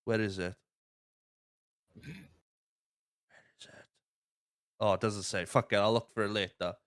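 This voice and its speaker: A man hosting a casual film podcast, retelling plots in an animated, sweary way. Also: lowish voice, unhurried. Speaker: podcast host.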